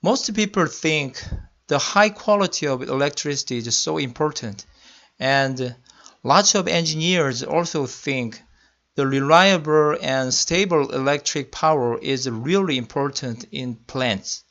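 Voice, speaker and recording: A man with a Korean accent, a senior electrical engineering manager, giving a slide-presentation lecture in careful, deliberate non-native English. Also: a little high, fairly bright tone. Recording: muffled lo-fi voice-over.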